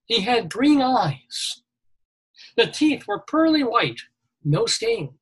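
A man's voice: very high-pitched, big pitch swings, takes it slowly, slightly rough, poor audio. A middle-aged man hosting a weekly radio show, reading odd news items and cracking jokes. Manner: jokey, reads news items with quips. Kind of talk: comedic news chat.

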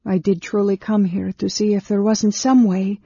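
A narrator of a fantasy audiobook voicing a frightened young female mage who pleads in a halting, stammering way. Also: medium pitch, little pitch movement, mellow, breathy edge, voice pushed up. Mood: hesitant, uneasy. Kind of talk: dramatized fantasy audiobook dialogue. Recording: echoey, dull recording.

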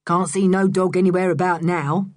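A woman voicing a gruff, scornful middle-aged man with a hoarse voice in a storybook reading. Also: on the low side, flat delivery, normal speed, a little mellow, no room sound, close to an everyday voice. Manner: scornful, mocking.

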